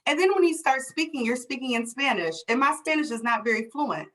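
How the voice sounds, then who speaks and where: A woman with a somewhat high voice hosts a conversation and talks with real passion. She builds her points through vivid everyday scenarios and rhetorical questions, and she is emphatic throughout. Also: animated, brisk, fairly bright tone. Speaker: host, DEI consultant.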